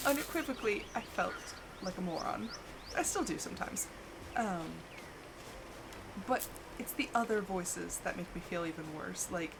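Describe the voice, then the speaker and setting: A hushed woman streamer with a slight put-on British accent murmurs through her game, her voice soft and edged with gravelly fry. She slips in dry, self-mocking remarks. Loud game rain and crackling fire sit behind her. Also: mid-range voice, lively intonation, measured pace, long pauses. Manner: soft, hushed, near-whispered musing. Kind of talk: soft-spoken live gameplay commentary.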